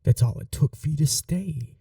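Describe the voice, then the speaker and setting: A woman playing a possessive, wounded vampire mistress in an intimate ASMR roleplay, speaking softly and breathily, often in whispers, with slow, tender coaxing. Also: low voice, vocal fry, slightly rough, no room sound, studio quality, recorded from a distance, full character voice. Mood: wounded, bitter.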